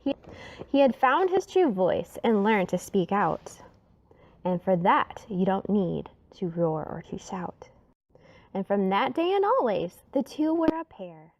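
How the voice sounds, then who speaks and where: A woman reading a rhyming children's story aloud in an expressive, dramatic way, sometimes dropping to a hush. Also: mid-range voice, a little slow, dull audio.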